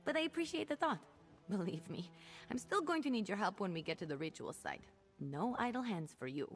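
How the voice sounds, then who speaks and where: Video game companion: eager young female mage, cheeky, animated delivery, music underneath. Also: medium pitch, brisk, slightly rough. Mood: upbeat, explaining. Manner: playful, expressive, chatty. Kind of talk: video game dialogue acting.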